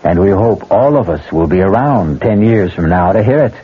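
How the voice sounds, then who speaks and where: A man's voice: low-pitched, animated, moderate pace, slightly rough. A man hosting a 1970s radio mystery, giving a reflective, theatrical closing narration. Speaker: host. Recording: tinny old radio broadcast with loud music.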